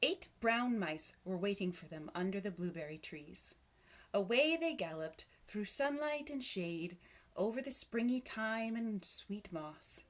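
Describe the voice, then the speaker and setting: A woman reading a children's story aloud, with expressive, measured narration and dramatic phrasing. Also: mid-range voice. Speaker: storybook reader.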